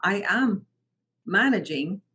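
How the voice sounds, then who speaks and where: A woman's voice: mid-range voice, slow pace, breathy edge. A middle-aged woman from Mexico whose first language is Spanish, telling her story as an interview guest, often restarting and repeating words. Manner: frequent restarts and repeated words, animated quoting of others. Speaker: guest, translation company founder.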